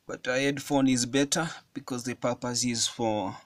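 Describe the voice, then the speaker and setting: A man giving a hands-on electronics tutorial at a table, explaining each step slowly with frequent fillers. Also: medium pitch, steady tone, no echo. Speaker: electronics hobbyist demonstrating a circuit. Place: indoors at a wooden table.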